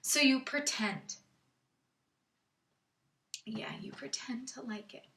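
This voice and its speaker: An actress playing a vulnerable young woman in a stage monologue, confessing in a halting way that moves between hushed and emphatic. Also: high voice, full character voice. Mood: distressed, intense, then hushed, fragile. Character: Jen, vulnerable young woman confessing painful intimate memories. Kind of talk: theatrical dramatic monologue. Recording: roomy echo, distant microphone.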